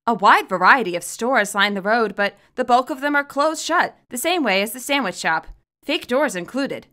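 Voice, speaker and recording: A woman reading her own fan fiction aloud as expressive, scripted story narration. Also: a little high, moderate pace.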